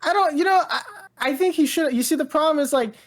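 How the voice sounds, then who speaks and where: A woman co-hosting a casual pop culture podcast, rambling and halting as she thinks aloud. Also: high voice. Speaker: podcast co-host.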